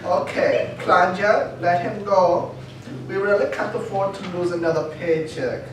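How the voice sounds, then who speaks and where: A woman's voice: on the low side, fairly even intonation, unhurried, natural voice. Echoey, exasperated schoolteacher played by a woman. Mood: weary, exasperated. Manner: coaxing, wearily exasperated. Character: exasperated, patient female teacher of young superhero children. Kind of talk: live read of a comedic script. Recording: echoey panel room, distant mic, faint noise.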